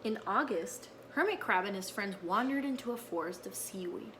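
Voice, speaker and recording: A woman reading a children's story aloud in an expressive, teacherly way, with asides to her listeners. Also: medium pitch, normal speed, noisy background.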